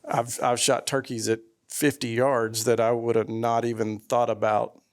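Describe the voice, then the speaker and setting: A man's voice: mid-range voice, level tone, moderate pace. A man on a casual panel, rambling in an easygoing way with frequent restarts. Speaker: panel guest.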